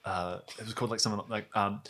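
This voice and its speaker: A man chatting casually in an animated, jokey manner. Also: on the low side, racing. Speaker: podcast cast member. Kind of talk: casual chat.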